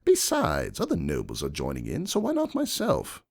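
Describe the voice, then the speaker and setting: A man voicing a shrewd, composed aristocratic unicorn stallion who narrates his own story in a measured, calculating way. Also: very deep voice, wildly swooping pitch, gravelly, a little mellow, pitched below the performer's own voice, exaggerated voice. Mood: calm, guarded. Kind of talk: first-person dramatic fan fiction narration.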